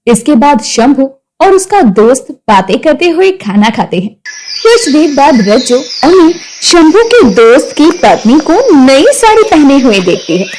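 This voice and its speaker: A woman's voice: sits high, very animated, normal speed, a little mellow, a little hiss or hum, rough recording. Woman narrating a children's tale. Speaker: storyteller. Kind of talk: scripted story narration.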